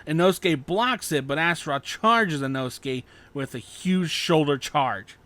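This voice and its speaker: A man's voice: on the high side, measured pace, sharp tone. A man narrating scripted fan fiction as an audiobook.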